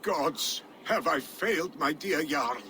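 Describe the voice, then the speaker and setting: An actor playing a grieving Viking steward who speaks quietly and wearily in a game cutscene. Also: male voice, on the high side, animated, takes it slowly, a little hiss or hum, full character voice. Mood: worried. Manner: weary, mournful, quiet.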